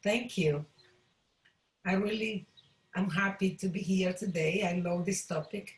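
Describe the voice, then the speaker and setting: A woman from El Salvador with a Spanish accent, sharing personally in halting English as a participant in an online class. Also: medium pitch. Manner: halting non-native English, appreciative. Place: room, on an online video call. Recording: roomy, echoey video call sound.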